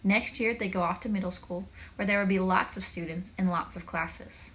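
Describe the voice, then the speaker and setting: A woman reading a children's book aloud as a teacher, in an expressive, animated way with chatty asides to young listeners. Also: medium pitch, moderate pace, low-quality recording.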